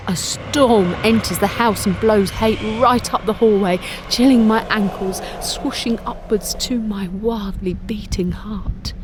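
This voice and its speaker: A woman reading a first-person audio drama as narrator, expressive and suspenseful. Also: medium pitch, a little hiss or hum. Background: music.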